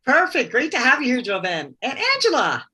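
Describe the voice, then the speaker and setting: A woman's voice: sits high, very animated, a little fast, bright, forceful tone, breathy edge. Upbeat woman hosting a video meeting. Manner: upbeat, calls on guests by name. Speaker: webinar host. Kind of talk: casual online networking meeting.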